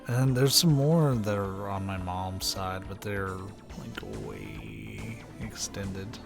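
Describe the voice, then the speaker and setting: Low-voiced rambling man streamer. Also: lively intonation, slow pace, creaky, music in the background. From Kentucky, USA. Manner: rambling, full of "like" and restarts. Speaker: game streamer. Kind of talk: casual chat while playing a game.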